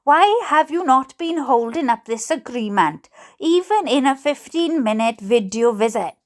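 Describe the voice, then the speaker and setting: A Welsh woman reads aloud in a notably high voice. Her pace is unhurried, and her delivery is expressive and playful, with asides in her own words. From Wales. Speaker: host reading letters aloud. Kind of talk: dramatic reading aloud with amused asides.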